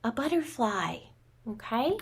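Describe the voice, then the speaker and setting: Woman preschool teacher in her 30s, takes it slow, simple words for little kids, doing a craft demo. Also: a little high, big pitch swings, breathy edge. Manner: simple, child-directed talk, addressing listeners as friends. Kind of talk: craft demonstration for young children. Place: small room at home.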